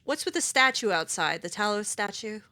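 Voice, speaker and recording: A woman's voice: mid-range voice, expressive, a little fast, sharp tone. A woman voicing her sarcastic, clever young adventurer character in a game, teasing as she talks.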